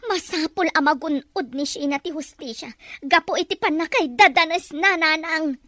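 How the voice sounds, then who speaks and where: Woman doing worried protective ilocano mom, really high voice, gentle but insistent, radio drama. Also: normal speed, no echo, studio quality. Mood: indignant.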